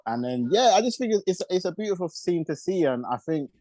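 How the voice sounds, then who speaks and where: A man's voice: high-pitched, very animated, brisk, dark tone, dry recording. A man with a London accent interviewing guests in an informal, slangy way as a radio show host. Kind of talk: casual interview chat.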